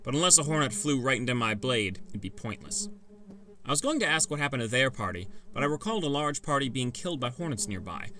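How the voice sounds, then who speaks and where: A man's voice: mid-range voice, lively intonation, fast talker, bright, forceful tone. A man narrating a first-person fantasy adventure story in a scripted, dramatic audiobook style.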